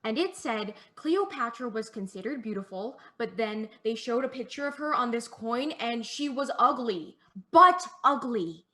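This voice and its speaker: Bright, girlish, pestering daughter, played by an actress. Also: fairly high pitch, lively intonation, a little slow. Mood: curious, doubtful. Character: sheltered, eager young daughter, needy and insistent. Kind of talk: one-act play performed on a video call.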